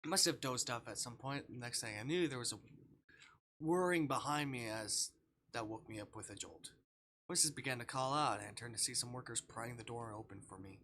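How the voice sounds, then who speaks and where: Guy streamer reading a visual novel out loud, bright forward voice, bit of noise behind him. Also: mid-range voice, normal speed.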